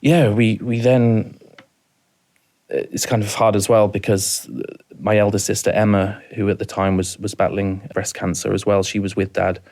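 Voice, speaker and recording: A Welsh man in his thirties with a very deep voice that barely moves in pitch. He talks softly and haltingly, often repeating a word before going on. He is a guest on a talk podcast telling a hard story from his own life. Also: little pitch movement. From rural mid Wales. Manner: halting, repeats words, soft-spoken. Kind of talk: emotional personal storytelling.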